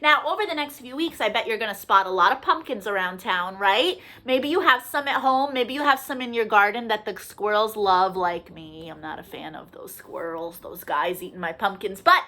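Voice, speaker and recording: A woman leading a children's storytime, reading aloud in an animated, sing-song way and checking in with young listeners through questions. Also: mid-range voice, normal speed, hardly any pauses. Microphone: video call.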